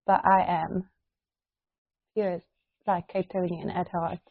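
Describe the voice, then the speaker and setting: A woman's voice: lowish voice, little pitch movement, vocal fry, no room sound. South African woman, 30s, podcast co-host, talkative, laughs easily; muffled lo-fi, dead dry room. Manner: chatty, laughs often, quick asides. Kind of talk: casual chat.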